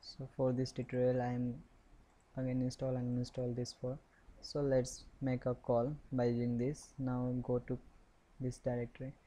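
A man giving an unscripted step-by-step software tutorial in a halting, repetitive, instructional way. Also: medium pitch, monotone, low-quality recording.

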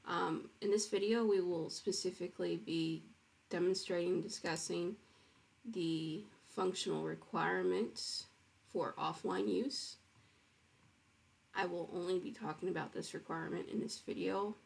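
A woman with a lowish, gravelly and husky voice talks through a software demo over her screen recording. She explains in a casual, unpolished way, pausing with ums and restarting phrases. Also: steady tone. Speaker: web developer presenting her project.

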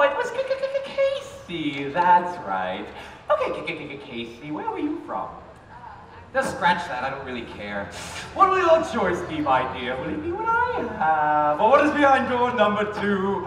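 A man's voice: high-pitched, a little hiss or hum, exaggerated voice. A man playing a cackling sinister game-show host. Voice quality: theatrical, sing-song, cackling. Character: sinister, gleeful male game-show host toying with contestants. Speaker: master of ceremonies at a live scare show. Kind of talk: live stage performance. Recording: echoey hall from far off, with loud music and crowd.

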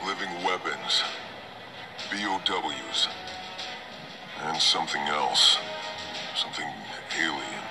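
An actor playing a grim, commanding soldier in a video game, speaking in a terse, brusque way. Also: male voice, very deep voice, takes it slowly, long pauses, exaggerated voice. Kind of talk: video game cutscene acting. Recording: game audio under loud music.

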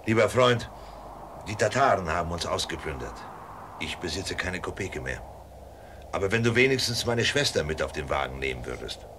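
An actor playing a weary, earnest and protective blind traveller in a German audio drama. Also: male voice, sits low, expressive, normal speed, background noise. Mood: weary, pleading.

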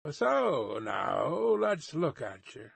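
A man voicing a gruff, suspicious armed coach guard in a storybook, with rustic old-fashioned speech and barked commands. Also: a little high, wildly swooping pitch, very slow, dry recording, heavily acted. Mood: menacing, challenging. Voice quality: gruff, growling.

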